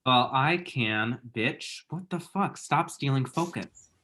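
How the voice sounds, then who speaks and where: A man plays a dramatic young man in a romantic comedy script read. He is awkward and sassy, with medium pitch that swings widely. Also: expressive, takes it slowly, pushed and bright. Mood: exasperated, bitchy. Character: flustered, dramatic, lovestruck, bitchy young man. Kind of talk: screenplay table read.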